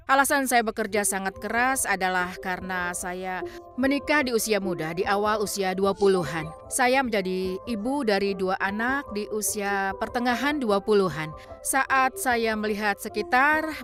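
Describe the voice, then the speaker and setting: A woman calmly and earnestly reading a translated personal testimony as a voice-over, with measured pauses. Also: medium pitch. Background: background music.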